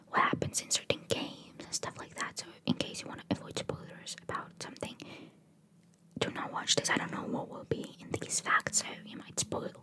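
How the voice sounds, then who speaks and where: A woman whispering very close to the microphone as an ASMR host, reading trivia aloud with casual, rambling asides and softly repeated phrases. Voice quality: breathy unvoiced whisper. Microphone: very close microphone, cupped by hand.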